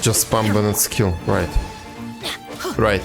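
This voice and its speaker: A man's voice: lowish voice, fairly even intonation, takes it slowly, vocal fry, music in the background. A man chatting casually as a game streamer, commenting to himself in a wry, self-deprecating way. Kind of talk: casual live gameplay chat. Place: indoors, streaming, game music behind.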